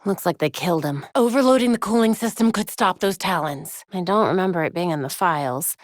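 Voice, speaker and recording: Lively young vigilante heroine, acted by a woman. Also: on the low side, no echo, studio quality, close to an everyday voice. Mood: focused. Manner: conversational, quick-witted, earnest, talking to herself and teammates. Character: young female vigilante heroine, brainy, determined, wry. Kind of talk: video game voice acting.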